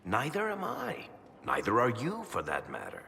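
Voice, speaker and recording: An actor playing a gruff, protective, wry fantasy companion in a game, talking in a sardonic, informal way. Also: male voice, medium pitch, wildly swooping pitch, normal speed, sharp tone, heavily acted. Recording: reverberant hall with noisy ambience.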